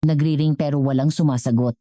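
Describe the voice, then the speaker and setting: A woman reading scripted dramatic story narration in a measured way, as the narrator of an audio drama. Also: low voice, fairly even intonation, mellow, no room sound, clean studio sound.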